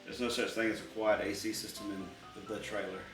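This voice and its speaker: A man's voice: fairly low pitch, level tone, quick pace, a bit bright, recorded from a distance. Male vlog co-host, casual and wisecracking, recorded in an echoey indoor space with faint music behind. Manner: teasing, wisecracking. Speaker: co-host of a home unboxing vlog. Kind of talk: casual joking banter. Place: indoors in a trailer home. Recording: roomy echo, off the mic, faint music.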